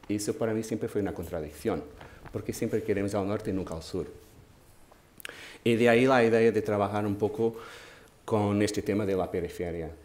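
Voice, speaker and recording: A man in his forties with a Portuguese accent, a non-native speaker, moderating a panel in a thoughtful way, pausing and restarting phrases. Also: fairly low pitch, a little mellow. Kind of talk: panel discussion talk. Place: large dark auditorium stage. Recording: echoey hall with faint noise.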